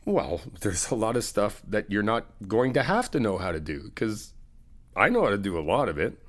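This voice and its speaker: A young man with a low voice talks straight to the listener as a supportive friend in an ASMR roleplay. His pitch moves freely and expressively as he chats. He keeps up a reassuring, easygoing manner, with soft laughs between phrases. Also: sing-song, moderate pace.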